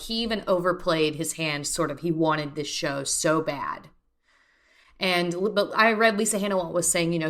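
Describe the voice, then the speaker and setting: A woman's voice: low voice, fairly bright tone. A woman in her mid twenties co-hosting a podcast, talking in an enthusiastic, rambling casual way. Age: 20s. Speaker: podcast co-host. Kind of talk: casual chat.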